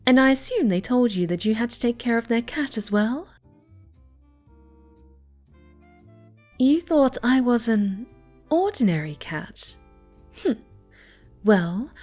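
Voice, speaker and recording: A woman playing a snobby, pouty young cat girl with a soft side in an ASMR roleplay. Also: fairly high pitch. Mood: haughty, suspicious. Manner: haughty, pouty, dismissive.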